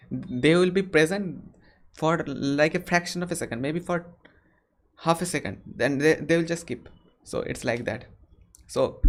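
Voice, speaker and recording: A man in his early twenties from West Bengal with a Bengali Indian accent, answering viewer questions casually and deliberately, often repeating his phrases. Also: fairly high pitch. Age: early 20s. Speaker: YouTuber hosting a Q&A live stream.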